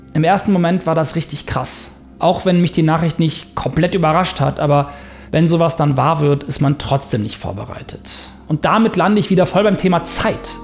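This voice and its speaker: Reflective German man, gravelly voice. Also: fairly high pitch, darkish tone. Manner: reflective, candid. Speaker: vlogger. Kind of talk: self-experiment vlog with voice-over narration.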